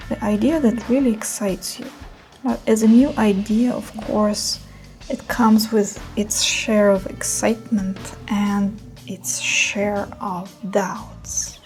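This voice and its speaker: A woman leading a guided meditation in a soothing, unhurried manner with gentle pauses. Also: medium pitch, fairly even intonation, soft background music.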